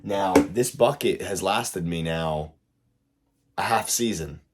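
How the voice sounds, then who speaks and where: Hoarse low-voiced man giving a tutorial. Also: slow. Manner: loose, rambling, lots of fillers. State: hoarse, recovering from illness. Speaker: RC hobby video host. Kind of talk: casual how-to tutorial.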